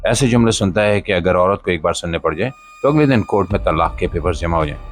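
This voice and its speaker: A man narrates a scripted spoken-word monologue in a solemn, emphatic, rhetorical manner, with short pauses between phrases. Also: low voice, animated. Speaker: podcast host and voiceover narrator. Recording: voice-over with music behind.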